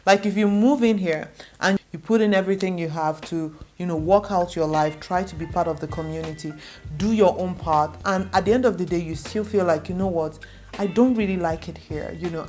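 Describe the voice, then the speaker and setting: A young adult woman from Nigeria, a native Igbo speaker, speaks in a somewhat low voice as she reassures her viewers. She is earnest and steady, and she leans on repetition to drive her points home. Faint music plays quietly under her speech. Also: normal speed. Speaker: vlogger. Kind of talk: direct-to-camera advice vlog.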